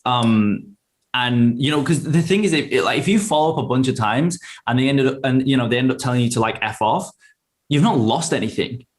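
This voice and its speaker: Male interview host, mid pitch, relaxed and agreeable, easy conversational questions. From England, raised in Australia. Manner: relaxed, agreeable, quick affirmations. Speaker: interview host, business coach. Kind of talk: casual interview chat.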